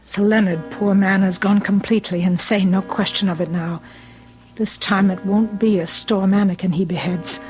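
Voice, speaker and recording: A woman's voice: mid-range voice, steady tone, normal speed, a little mellow, lightly acted. An actress playing a stern, level-headed lady with a grave, emphatic, scolding manner in an old-time radio drama. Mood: anxious but composed. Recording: dull old radio recording, faint music.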